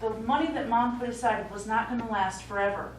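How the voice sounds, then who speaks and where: Echoey, higher-voiced actress as a concerned daughter. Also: female voice, fairly even intonation, fairly quick, natural voice. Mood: worried. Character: concerned, pleading middle-aged daughter of elderly father. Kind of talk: live stage play acting. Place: small indoor theatre stage. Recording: echoey theatre, distant mic, faint noise.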